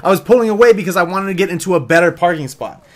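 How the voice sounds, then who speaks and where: A man's voice: high voice, lively intonation, rapid-fire. An American man telling a casual story in a rambling, teasing, joking way, like a vlogger.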